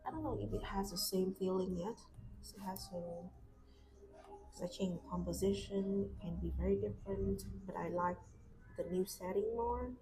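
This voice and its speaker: A woman's voice: medium pitch, normal speed, rough recording. A young woman with a Vietnamese accent, casually chatting and thinking aloud with lots of fillers as she draws on a live stream. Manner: soft-spoken, thinking aloud with many fillers. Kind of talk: casual chat while drawing. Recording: clear background street noise. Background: outside street noise.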